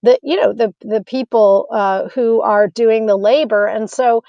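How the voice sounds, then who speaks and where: A woman's voice: on the high side, fairly even intonation, a little slow, dry recording, highs cut off. An American woman in her fifties, a physician, answering interview questions in an earnest, reflective way with many fillers and restarts. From United States. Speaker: physician and guest.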